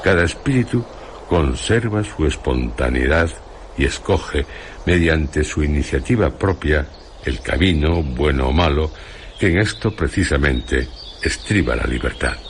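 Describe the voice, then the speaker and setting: An actor playing a grave, reflective narrator of a dark tale, solemn and deliberate. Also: male voice, rumbling low, animated, slight background noise, exaggerated voice. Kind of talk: dramatised radio storytelling.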